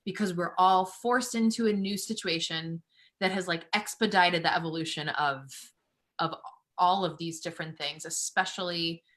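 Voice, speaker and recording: Bright, enthusiastic woman host. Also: fairly low pitch, steady tone, normal speed. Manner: enthusiastic, affirming, asks long questions. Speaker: host, coach. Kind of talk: casual interview conversation.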